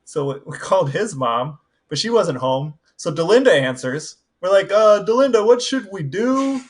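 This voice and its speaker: Slightly high-voiced man in casual chat. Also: very animated, normal speed. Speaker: podcast co-host.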